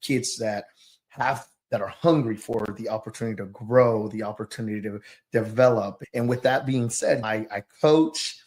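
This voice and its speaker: Low-voiced, halting man from southern Mexico, slightly nervous. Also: darkish tone. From Oaxaca, southern Mexico. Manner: halting, reflective, searching for words. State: a little nervous. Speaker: guest, youth soccer coach and business owner. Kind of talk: personal storytelling in a podcast interview.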